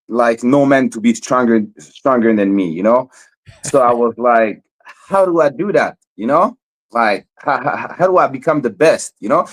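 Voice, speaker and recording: A young man from Montreal, a fighter guest in a casual interview, who stammers and repeats words often. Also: mid-range voice. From Montreal, Canada.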